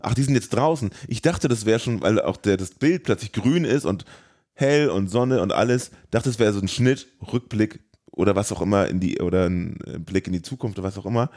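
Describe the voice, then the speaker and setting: Male podcast host, low voice, casual and rambling film chat. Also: a little fast, darkish tone.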